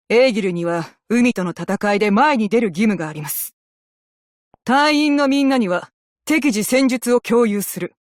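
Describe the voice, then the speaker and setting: A woman playing a composed, dutiful female naval officer in a game, speaking with measured, formal military politeness. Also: medium pitch, no room sound, studio quality, close to an everyday voice. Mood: firm, commanding. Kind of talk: scripted game character voice lines in Japanese.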